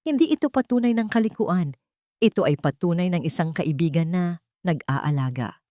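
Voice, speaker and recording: A woman's voice: on the low side, expressive, normal speed, warm tone, no room sound, close to an everyday voice. Resolute wealthy male lead acted by a woman, formal and defiant defender, courtroom drama, very dry recording. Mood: firm, defiant. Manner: firm, formal, declarative. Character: protective male billionaire defending his beloved, resolute.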